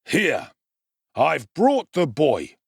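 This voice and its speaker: A man's voice: a little high, wildly swooping pitch, drawn-out words, creaky, slightly rough, no room sound, clean studio sound, pitched above the performer's own voice, full character voice. A man voicing a pompous, blustering middle-aged parish official.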